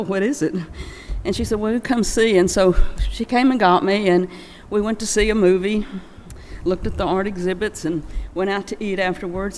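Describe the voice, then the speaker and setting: A woman's voice: medium pitch, a little mellow. Older woman speaking in a very echoey hall. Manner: folksy, anecdotal. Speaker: friend giving a remembrance. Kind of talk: informal storytelling remembrance speech. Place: large church, at a memorial gathering. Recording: long echo of a large church hall.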